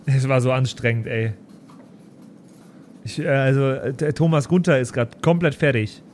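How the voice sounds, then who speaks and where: A man's voice: medium pitch, steady tone, moderate pace, darkish tone, slight background noise, lightly acted. A man playing an earnest, worn-out schoolteacher who rambles, in an improvised tabletop audio play. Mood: exhausted. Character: Thomas, frazzled, earnest male schoolteacher.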